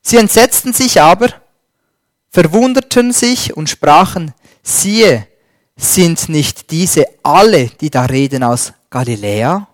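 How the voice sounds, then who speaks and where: A man preaching a sermon and reading scripture, slow and emphatic, swinging between loud stresses and a dropped voice. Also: a little high, lively intonation, no echo, studio quality.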